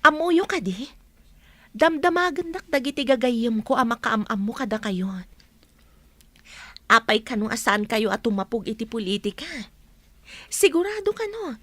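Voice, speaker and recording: Actress as an earnest, high-voiced affectionate daughter. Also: female voice, fairly bright tone, no echo. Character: affectionate grown daughter back from working abroad, earnest and supportive. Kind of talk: radio drama acting in Ilocano.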